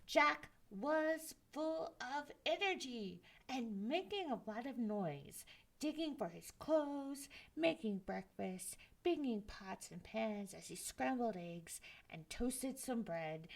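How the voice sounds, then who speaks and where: A woman storyteller reading a children's story aloud in a gentle, expressive way. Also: on the high side, slow pace, gravelly. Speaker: library storyteller.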